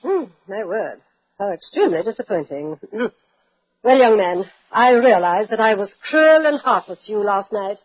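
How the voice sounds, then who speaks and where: An actress playing an imperious, coy old British dowager duchess in 1940s radio drama. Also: female voice, mid-range voice, sing-song, normal speed, husky. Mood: coy, flustered. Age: older. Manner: haughty, commanding. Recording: muffled old radio recording.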